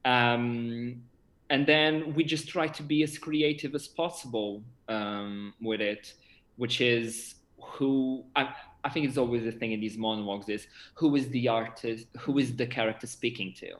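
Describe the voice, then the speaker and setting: A young man chatting casually as a theatre director in an interview, animated and often repeating words as he looks for phrasing. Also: medium pitch, a little slow. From Portugal. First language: Portuguese. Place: indoor room on a video call. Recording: roomy, echoey video-call sound.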